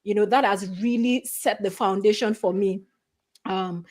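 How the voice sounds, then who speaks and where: A middle-aged woman from Nigeria speaking as a guest on a career panel, earnest and conversational, often filling pauses with "you know". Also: medium pitch, fairly even intonation, darkish tone.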